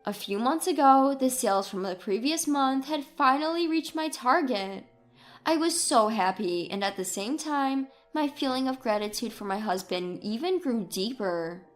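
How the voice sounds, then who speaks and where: A woman narrates a scripted story in the first person. Her voice sits fairly high, and soft music plays behind her. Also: expressive, normal speed.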